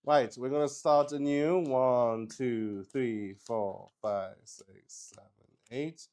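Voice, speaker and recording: A man's voice: mid-range voice, fairly even intonation, slow, a little mellow, clean tone. A man improvising narration for a solo tabletop roleplaying game, thinking aloud hesitantly and often repeating his words. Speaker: solo roleplay host and player.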